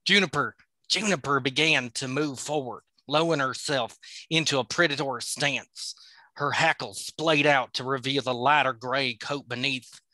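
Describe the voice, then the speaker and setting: Bright-voiced man reading a story aloud. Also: mid-range voice, moderate pace, dry recording, poor audio. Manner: playful, laughs at his own slips. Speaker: podcast host reading a novel aloud. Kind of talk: dramatic reading with joking asides.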